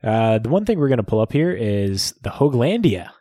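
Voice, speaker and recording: A man's voice: mid-range voice, sing-song, normal speed, mellow, no echo, clean studio sound. A man hosting a podcast in a casual, joking way. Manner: laid-back, joking, searches for words. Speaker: podcast host.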